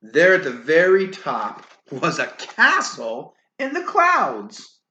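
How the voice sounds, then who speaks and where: A woman reading a children's story aloud in an animated way, with casual asides. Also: low-pitched, slow. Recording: roomy echo, faint background noise.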